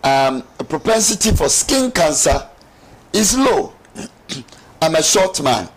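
Middle-aged man, fairly high voice, very slow pace, studio discussion, emphatic phrasing. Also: very animated. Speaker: studio guest. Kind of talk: explanatory talk-show discussion. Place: TV studio lounge set. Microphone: lapel mic.

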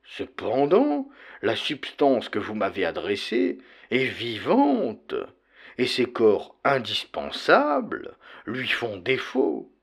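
A man's voice: mid-range voice, huge pitch swings, slow, breathy edge, heavily acted. A man voicing an astonished chemist reading a formal scientific report in an audiobook, precise and earnest. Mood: earnest explanation. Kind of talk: dramatic audiobook character reading.

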